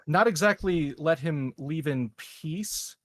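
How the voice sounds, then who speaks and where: A man in a casual tabletop roleplaying game, laying out plans with deliberate, measured phrasing. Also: fairly high pitch, lively intonation, no echo.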